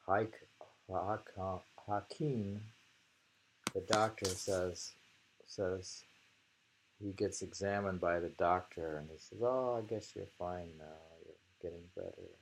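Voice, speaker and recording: A man hosting a casual haiku discussion and reading poems aloud, in a halting, musing way that often trails off. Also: low-pitched, fairly even intonation, gravelly, slight background noise, rough recording.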